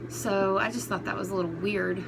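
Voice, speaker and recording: Female commentary vlogger, mid-pitched, unscripted and halting, casual delivery, recorded with clear background noise. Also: steady tone.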